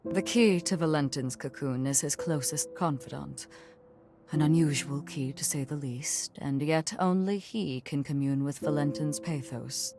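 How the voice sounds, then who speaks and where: An actress playing a solemn, commanding mother in a video game, speaking in a grave, formal and measured way to guide heroes on a final mission. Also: deep voice, dark tone, slight background noise. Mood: solemn, resolute. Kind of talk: video game cutscene acting.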